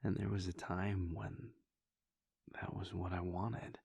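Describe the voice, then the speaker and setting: A man voicing a weary, brooding male vampire prince in an intimate audio roleplay, speaking in a low husky whisper as a soft confiding monologue. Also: flat delivery, slow, no echo, studio quality, full character voice. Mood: reflective.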